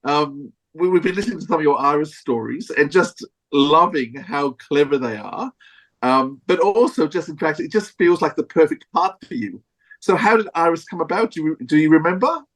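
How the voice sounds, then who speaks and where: A man's voice: a little high, expressive, moderate pace, low-quality recording. A man hosting a casual podcast interview, asking friendly questions in a slightly hesitant way.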